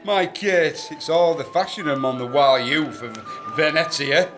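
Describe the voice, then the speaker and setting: A man's voice: fairly high pitch, unhurried, lightly acted. Man acting a boastful, lusty duke. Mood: jovial, boastful. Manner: bluff, pompous, leering. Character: boastful, lusty, boisterous duke, big hairy bridegroom. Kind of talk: comic period radio play acting. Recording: radio play with clear music behind.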